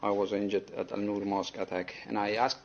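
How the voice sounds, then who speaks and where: A man from Afghanistan with an Afghan accent giving a courtroom statement, earnest and halting but firm and resolute. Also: fairly low pitch, level tone, lo-fi sound, slight background noise. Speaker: attack survivor giving a victim statement. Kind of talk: courtroom statement, partly spontaneous.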